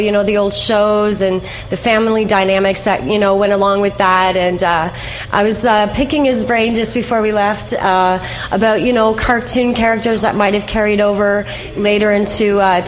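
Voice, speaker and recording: A woman with a North American accent hosting a casual radio interview, asking questions in an informal, chatty way. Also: mid-range voice. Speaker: radio show host and interviewer. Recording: muffled audio with faint background noise.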